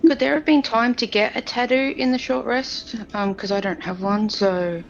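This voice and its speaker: A woman's voice: a little high, steady tone, moderate pace, gravelly. A woman playing a tabletop game and chatting casually. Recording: muffled audio with faint noise.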